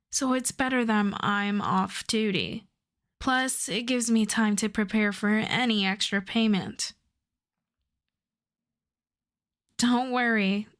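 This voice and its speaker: A woman playing a suave, self-assured female supervillain in a roleplay audio drama, flirting and teasing in an unhurried way. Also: medium pitch, natural voice. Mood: businesslike, teasing. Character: suave flirtatious female supervillain courting her costume maker.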